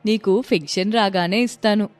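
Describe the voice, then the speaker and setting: A woman's voice: mid-range voice, moderate pace, natural voice. A woman voicing a helpful village relative, familiar and questioning, in a moral story. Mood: concerned.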